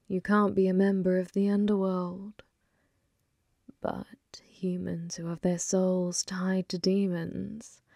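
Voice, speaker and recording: A woman playing a flirty, possessive, taunting female demon in an ASMR roleplay, slow and intimate, often slipping toward a whisper. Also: mid-range voice, vocal fry, a little mellow, no room sound, clean studio sound.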